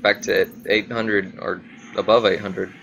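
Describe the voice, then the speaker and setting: A man's voice: on the low side, steady tone, a little slow, gravelly, noisy background. A man giving casual, playful gameplay commentary, thinking aloud in short bursts and exclamations. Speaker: gamer recording gameplay.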